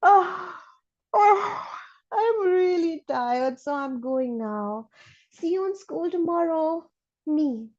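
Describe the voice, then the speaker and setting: A woman voicing a chatty, frank, excitable school child in an animated storybook read-aloud, gushing with dramatic emphasis. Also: extremely high pitch, takes it slowly, highs cut off, voice pushed up, heavily acted. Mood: tired, whiny.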